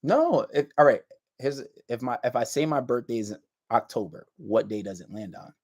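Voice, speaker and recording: A man's voice: medium pitch, expressive, moderate pace, a little mellow. A man in his thirties hosting a casual livestream chat, talking in a laid-back, drawling way that trails off and restarts. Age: 30s. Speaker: livestream host.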